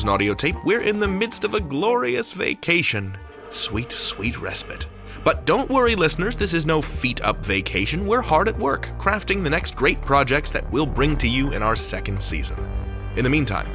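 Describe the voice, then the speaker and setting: Theatrical male podcast host over music. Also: medium pitch. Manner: theatrical, playfully grandiose. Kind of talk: scripted narration.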